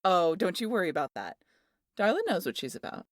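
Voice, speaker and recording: A woman acting a rowdy, muscular aunt in an audio roleplay. The voice is on the low side and closely recorded with a dry sound, swaggering and teasing as it spins tales. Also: a little fast, no room sound. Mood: gleeful, boastful. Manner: swaggering, foul-mouthed, animated storyteller. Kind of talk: ASMR roleplay audio drama.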